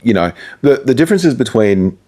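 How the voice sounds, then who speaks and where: A man's voice: mid-range voice, very animated, normal speed, mellow. A middle-aged man, a radio presenter, giving casual, conversational advice in an interview.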